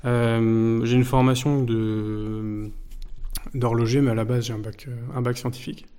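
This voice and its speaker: A young man in his twenties chatting as an interview guest. He talks at an even, middling pitch for a man, hesitating often and restarting his sentences as he searches for words. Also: measured pace, clean tone. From France. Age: 20s. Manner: hesitant, many restarts and fillers. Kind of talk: casual interview.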